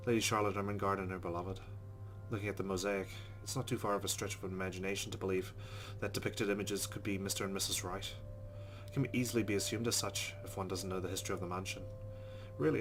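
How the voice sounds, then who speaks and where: Low-voiced man reading game narration. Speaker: let's play host. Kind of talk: reading visual novel narration aloud.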